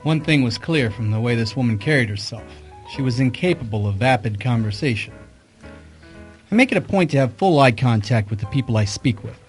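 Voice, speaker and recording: A man playing an observant hard-boiled private investigator who narrates his case in a wry, measured radio mystery style. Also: mid-range voice, close to an everyday voice. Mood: curious, measured. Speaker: narrator and detective. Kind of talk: radio mystery drama acting with first-person narration.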